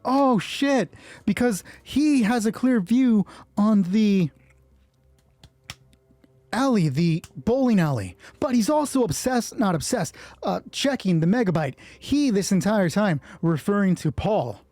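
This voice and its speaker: Mellow game master thinking aloud, very dry sound. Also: medium pitch, slow, dry recording. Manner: sweary, excitable. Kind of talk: solo tabletop roleplay, thinking aloud while rolling dice and narrating.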